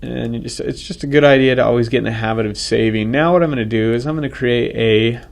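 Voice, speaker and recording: A man with a head cold, talking casually through a software tutorial and correcting himself as he goes. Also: medium pitch, fast, vocal fry. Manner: casual, thinks aloud, self-correcting. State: congested from a cold. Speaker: software instructor. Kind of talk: screen-recorded software tutorial.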